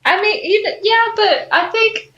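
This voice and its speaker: A woman co-hosting a podcast, chatting casually about a TV show with halting, hesitant phrasing. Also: very high voice, pushed and bright, lo-fi sound. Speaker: podcast co-host.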